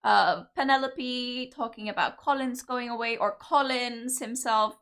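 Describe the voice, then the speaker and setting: A woman's voice: fairly high pitch, unhurried, fairly bright tone. A woman doing a gushing, enthusiastic young woman. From Cyprus. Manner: gushing, enthusiastic, lots of fillers. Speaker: reaction video host. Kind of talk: casual music reaction commentary.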